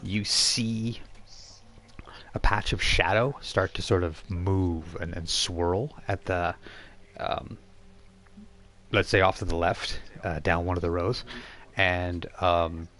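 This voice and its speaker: A man working as game master, improvising narration for a tabletop role-playing game in a halting, conversational way. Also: low-pitched, steady tone, a bit bright. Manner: halting, conversational, thinking aloud.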